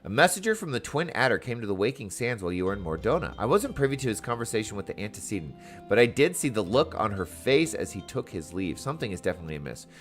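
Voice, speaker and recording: Man acting female game receptionist, anxious, earnest, formal wording, animated pitch, background music. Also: fast. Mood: worried. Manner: worried, formal.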